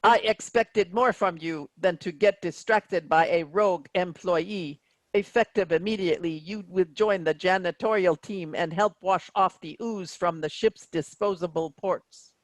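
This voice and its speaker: A woman's voice: lowish voice, a little slow, no room sound, natural voice, rough recording. Woman playing a stern robot starship captain, dry sound. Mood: stern, officious. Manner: formal, rigid delivery. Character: stern female robot captain of a spaceship, coldly officious. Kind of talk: virtual staged play reading.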